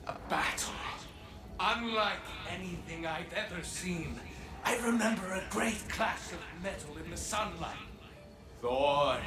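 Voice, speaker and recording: Echoing, theatrical drinker's ghost. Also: male voice, on the high side. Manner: slow, theatrical, marvelling. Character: hearty, theatrical male drinker's spirit, awestruck storyteller. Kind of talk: video game drama acting. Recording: echoing voice with background noise.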